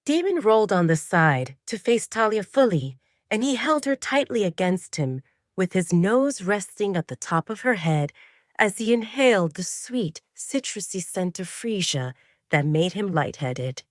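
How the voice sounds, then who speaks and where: Expressive female narrator, very dry sound. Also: mid-range voice, measured pace, no echo. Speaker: audio drama narrator. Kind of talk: scripted romance fiction narration.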